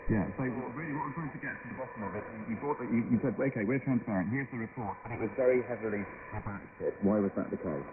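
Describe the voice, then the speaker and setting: Man, radio interviewer, brisk pace, slightly low pitch, thin shortwave sound. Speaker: radio presenter interviewing guests. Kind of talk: live interview. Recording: noisy tinny shortwave radio reception.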